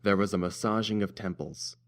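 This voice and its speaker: Low-voiced man narrating a story. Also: a little fast. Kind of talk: scripted fan-fiction story narration.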